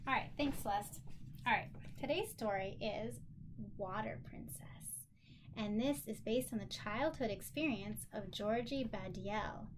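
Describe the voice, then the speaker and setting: A woman's voice: mid-range voice, unhurried, background noise. A woman teaching children in an encouraging, simply worded way, like a teacher leading a lesson and read-aloud. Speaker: special education teacher.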